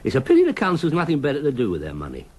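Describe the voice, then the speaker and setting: A middle-aged father who grumbles wryly in a British radio comedy. His voice is husky, with big swings in pitch. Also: huge pitch swings, racing, darkish tone, full character voice. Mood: grumbling.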